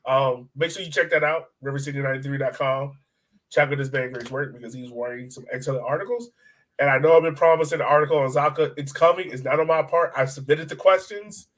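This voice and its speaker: A man's voice: mid-range voice, quick pace, a bit bright. A man co-hosting a sports podcast in casual, informal, enthusiastic fan chat. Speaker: podcast co-host.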